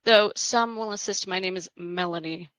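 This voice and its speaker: A woman's voice: mid-range voice, moderate pace, fairly bright tone, clear, resonant tone, no room sound, lightly acted. Actress playing a chatty wry young woman on a psych ward, flippant n teasing, really dry sound. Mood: breezy, teasing. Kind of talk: acted table read of a screenplay.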